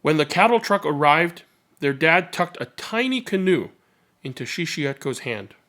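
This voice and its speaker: A man reading a children's picture book aloud as narrator, in a measured, expressive and dramatic storytelling manner. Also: on the high side.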